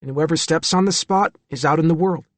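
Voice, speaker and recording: A man voicing a fragile, frightened young boy with an anxious, halting, childlike manner in an audiobook story. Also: on the high side, dry recording, voice pushed up, lightly acted. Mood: pained, earnest.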